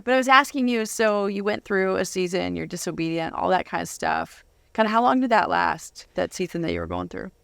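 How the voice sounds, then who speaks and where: A woman's voice: on the low side, a little fast, creaky, a bit bright, dry recording. Playful woman host, dry studio-close sound. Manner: playful, reacts with laughter and interjections. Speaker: show host. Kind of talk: casual conversational interviewing.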